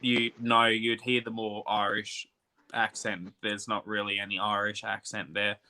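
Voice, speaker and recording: A man's voice: fairly low pitch, monotone, normal speed, pushed and bright. A man from Australia with an accent between British and Australian, chatting casually as a livestream host and laughing easily. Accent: mix of British and Australian. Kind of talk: casual chat.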